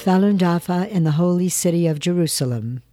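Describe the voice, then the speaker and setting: A narrator reading scripted news in a calm, steady way. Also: medium pitch, brisk, music in the background.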